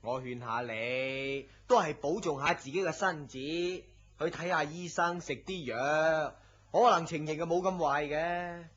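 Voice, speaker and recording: A man's voice: a little high, unhurried. A man with a Hong Kong Cantonese accent playing a blunt, teasing friend who fusses and scolds in a radio drama. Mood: teasing concern. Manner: exasperated, scolding, animated sighing delivery. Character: blunt, teasing, fussing male friend and co-worker. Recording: dull lo-fi radio drama audio.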